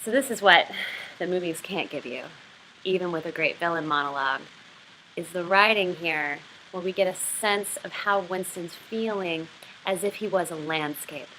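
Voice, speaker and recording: A woman's voice: on the low side, normal speed, bright, forceful tone, slight background noise, poor audio. A woman giving an explanatory lesson as a writing instructor, talking through a literary passage.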